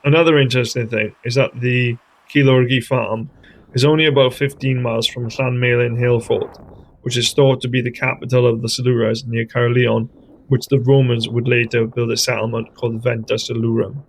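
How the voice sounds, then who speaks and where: A man reads a scripted voice-over. He explains carefully and stays tentative. His voice sits in the middle register and has a gravelly, creaky edge. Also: mid-range voice, level tone, a little hiss or hum, rough recording. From Wales. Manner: measured, explanatory, tentative about theories. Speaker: host of a history channel.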